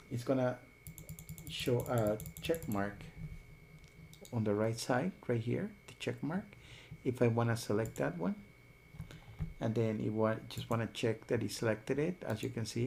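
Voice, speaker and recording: A man hosting a software tutorial, explaining each step while thinking aloud. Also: mid-range voice, gravelly, slight background noise. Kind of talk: screen-recorded tutorial.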